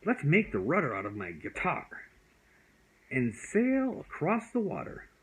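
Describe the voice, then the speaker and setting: A man's voice: on the high side, wildly swooping pitch, measured pace, fairly bright tone, voice pushed up, exaggerated voice, low-quality recording. A man voicing a timid but eager little boy in a bedtime storybook. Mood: excited.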